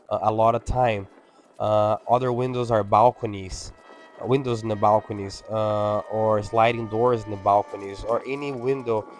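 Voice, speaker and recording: A man whose first language is Portuguese, speaking English with an accent as he talks over his window cleaning video, halting and full of fillers. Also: medium pitch. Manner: halting, many fillers and restarts. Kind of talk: casual talk-over.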